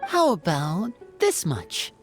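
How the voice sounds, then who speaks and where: An actress playing a composed, serious monster hunter in a video game, speaking formally and courteously. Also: female voice, lowish voice, huge pitch swings, slow pace, full character voice. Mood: polite, composed. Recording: background music under the voice.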